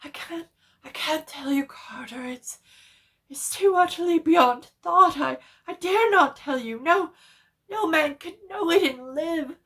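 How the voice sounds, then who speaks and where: A woman plays a man trapped underground in a horror tale, gripped by panic. His voice is pitched far up and loud, stammering and frantic as he calls out. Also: a little slow. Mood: horrified despair. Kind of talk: dramatic horror reading.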